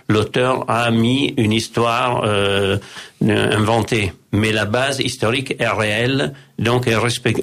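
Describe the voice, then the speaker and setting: Guy w a low gravelly voice, talks real slow, publisher explaining stuff with lots of pauses. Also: fairly bright tone. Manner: measured and explanatory, with frequent pauses and restarts. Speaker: publisher, co-founder of a publishing house. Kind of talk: radio interview guest.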